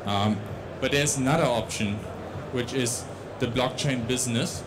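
A man's voice: mid-range voice, a little slow, heavy background noise. A young man with a Dutch accent giving a tech conference talk on a large stage into a handheld mic, with many restarts and fillers. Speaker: conference speaker.

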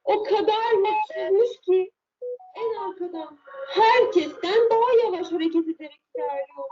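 A woman reading a children's fairy tale in a deliberate, drawn-out, expressive way. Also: very high voice, background noise. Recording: muffled, roomy, far from the mic.

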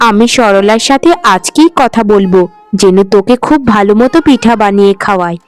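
A woman's voice: mid-range voice, expressive, fairly quick, clean tone, soft background music, close to an everyday voice. A woman voicing a doting, well-off mother in a cartoon, indulgent and a little dramatic in her delivery. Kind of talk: Bengali cartoon voice acting.

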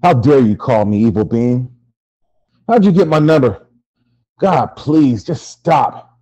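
A man playing an anguished, angry victim tormented since childhood, with a husky voice, in a dramatic scene over a video call. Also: high voice, lively intonation, fast, mellow, highs cut off. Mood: outraged, pleading.

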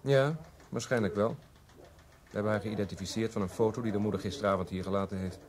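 An actor playing a grim, terse police investigator in radio play dialogue, brisk and matter-of-fact. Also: male voice, fairly low pitch, fairly even intonation, a little mellow, lightly acted.

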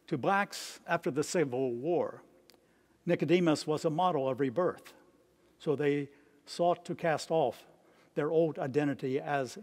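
A man in his seventies preaching a sermon from notes as a priest, in measured, deliberate phrases with pauses. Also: fairly high pitch, a little mellow. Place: stone church, at a lectern.

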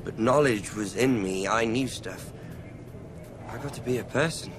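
A man's voice: medium pitch, a little slow, background noise, natural voice. An actor playing an earnest, naive young man who muses plainly about philosophy in a radio drama. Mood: earnest musing. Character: earnest young male thought-experiment figure, naive amateur philosopher. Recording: music behind the voice.

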